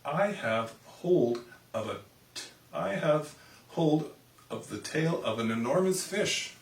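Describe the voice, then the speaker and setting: Man doing an excitable, high-pitched little girl in a roomy recording. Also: slow, gravelly, heavily acted. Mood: earnest. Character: little girl, excitable and earnest, proud of her catch. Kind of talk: animated children's storybook reading. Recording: roomy, distant microphone.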